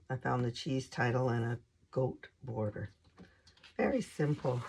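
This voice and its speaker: Slow, hoarse woman with a gravelly voice. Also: rumbling low, a little hiss or hum. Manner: unhurried, hesitant, lots of ums. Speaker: craft channel host. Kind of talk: casual show-and-tell of scrapbook pages.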